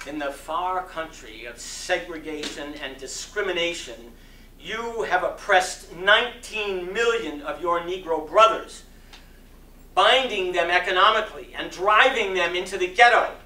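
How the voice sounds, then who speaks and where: A man giving a dramatic reading of a sermon, oratorical and impassioned, with deliberate phrasing and strong emphasis. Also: high voice, pushed and bright. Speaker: reader of a sermon. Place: community center hall. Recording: distant mic in echoey room, faint noise.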